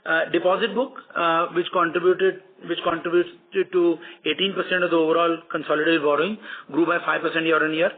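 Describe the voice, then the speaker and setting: Over a narrow, phone-like conference line, an Indian-accented man speaks in a slightly high voice. He delivers financial results like a company executive, formal and measured, often hesitating between phrases. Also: little pitch movement. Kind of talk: prepared earnings call commentary. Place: conference call.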